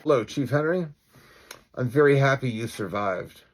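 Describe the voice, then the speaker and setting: A man playing a calm, defiant citizen vigilante with deliberate, declamatory speech in an acted-out story. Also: medium pitch, pitched above the performer's own voice, natural voice. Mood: cool, menacing.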